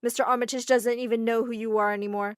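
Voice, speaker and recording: A woman's voice: on the high side, level tone, quick pace, dry recording, studio quality, lightly acted. Woman voicing a haughty scheming rich mother. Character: cold scheming rich stepmother.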